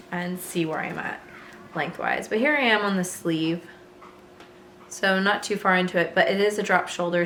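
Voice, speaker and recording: Slightly hoarse woman chatting in an echoey room. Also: on the low side, fairly bright tone, a little hiss or hum. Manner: chatty and rambling, with frequent fillers and light laughs. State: slightly hoarse, voice still recovering. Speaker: knitting vlogger. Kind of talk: casual chat to camera.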